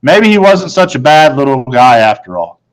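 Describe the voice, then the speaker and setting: A man's voice: medium pitch, very animated, moderate pace, low-quality recording. A man narrating a children's novel aloud in the first person, as a storybook narrator.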